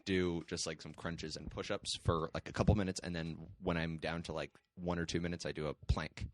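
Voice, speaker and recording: A man's voice: deep voice, flat delivery, a little fast, a little hiss or hum. A young man on a podcast, talking casually in a hesitant, self-deprecating way. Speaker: podcast co-host. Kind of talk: casual chat.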